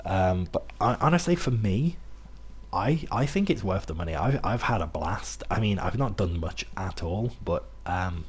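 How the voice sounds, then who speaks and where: Low husky young British man. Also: animated, normal speed. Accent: British, mixed, not Brummie. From England, near Birmingham. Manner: rambling, many restarts and fillers, chatty asides. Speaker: gaming streamer. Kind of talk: casual gameplay commentary to chat.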